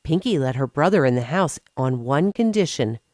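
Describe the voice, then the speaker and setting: A woman's voice: low-pitched, animated, moderate pace, a little mellow, dry recording, clean studio sound. A woman narrating a scripted children's bedtime story as a storyteller.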